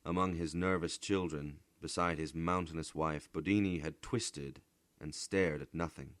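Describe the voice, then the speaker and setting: A man narrating a literary short story dramatically, with a measured, deliberate delivery. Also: very deep voice, fairly even intonation, a bit bright, no room sound. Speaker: narrator, voice actor.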